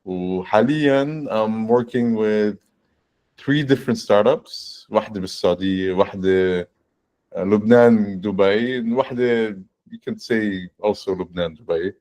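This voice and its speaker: A man in his forties, a guest on a video-call fireside chat, explaining his work in a relaxed way with frequent restarts and "right?". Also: fairly low pitch, a little fast. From born in the United States, raised in Saudi Arabia and Canada. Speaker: guest, fractional CTO.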